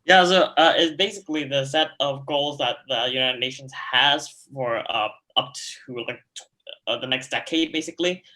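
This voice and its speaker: A man's voice: mid-range voice, moderate pace, pushed and bright, rough recording. A teenage boy from Hong Kong introducing himself and answering on a youth panel, with some uh fillers. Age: teens. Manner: eager, with uh fillers.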